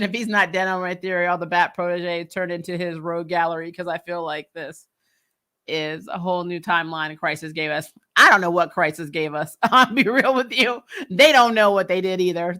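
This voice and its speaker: A woman's voice: on the low side, sharp tone. A woman giving casual, candid fan commentary as a recap host, in a sassy, emphatic and colloquial way.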